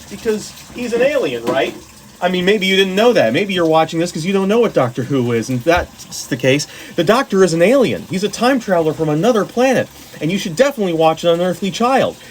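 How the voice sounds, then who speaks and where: A man's voice: fairly high pitch, expressive, brisk, rough recording. A man gives rambling, informal commentary like a fan talking to followers. He is jokey and digressive, with loose, sweary phrasing. Faint background noise sits under his voice. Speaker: host of a fan story guide. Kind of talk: casual rambling video commentary on sci-fi stories.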